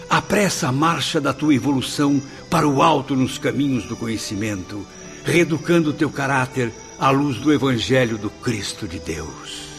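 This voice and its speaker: An actor playing an earnest male spirit in a radio drama, speaking in a measured, declamatory way. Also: mid-range voice. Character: Camilo, earnest male spirit telling his story. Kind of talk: solemn radio drama acting and narration. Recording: background music under the voice.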